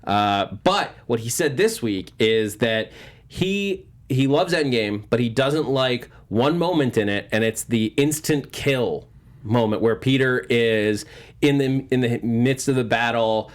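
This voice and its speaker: A man hosting a panel discussion, talking casually in a halting, wordy way and often backtracking as he explains. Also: medium pitch. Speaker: host of a movie news panel show.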